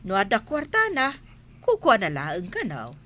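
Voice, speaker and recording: A woman's voice: mid-range voice, huge pitch swings, moderate pace, crowd noise. A woman playing a blunt, scolding village gossip in an Ilocano comedy radio drama, questioning and emphatic. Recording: faint background noise.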